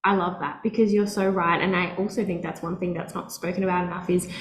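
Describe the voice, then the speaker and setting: A woman's voice: fairly low pitch, steady tone, quick pace, reverberant, crowd noise. A woman hosting a wellness podcast as a nutritionist, effusive and enthusiastic as she introduces and chats with her guest. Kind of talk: podcast hosting and casual chat.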